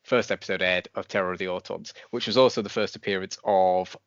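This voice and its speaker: A man's voice: deep voice, fairly even intonation, fast, dry recording. A man hosting a review podcast, chatting casually. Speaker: podcast host. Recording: dull, dry podcast audio.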